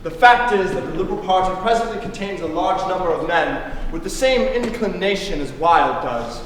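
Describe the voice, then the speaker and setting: A young stage actor playing an indignant Victorian politician who challenges the government. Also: male voice, sits high, expressive, normal speed, fairly bright tone, slight background noise. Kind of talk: stage play performance. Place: theater stage. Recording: echoey theater from far off.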